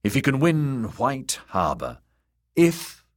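A man's voice: fairly low pitch, sing-song, moderate pace, husky, voice pushed up, exaggerated voice. A man voicing a timid, earnest young male scholar in an audiobook, hesitant and deferential in manner. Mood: anxious, urging. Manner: hesitant, nervous, stressing his words.

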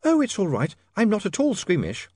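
A man voicing a high-pitched, earnest young lady. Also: wildly swooping pitch, brisk, darkish tone, slightly rough, dry recording, clean studio sound, full character voice. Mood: concerned. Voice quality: high, feminine put-on voice. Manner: urgent, emphatic, coaxing. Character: brisk, bossy, earnest young lady, urgently encouraging.

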